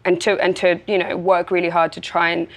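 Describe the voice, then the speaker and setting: A woman's voice: on the low side, fairly quick. Bubbly young woman. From South Africa. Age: young adult. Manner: animated, bubbly, laughs often. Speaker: actress guest. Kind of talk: casual interview chat.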